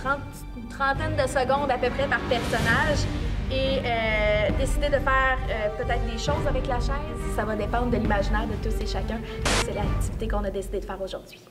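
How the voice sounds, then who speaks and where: A woman with a Quebec French accent narrating a voice-over in a relaxed, explanatory way. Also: fairly high pitch, normal speed. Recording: voice-over over loud music.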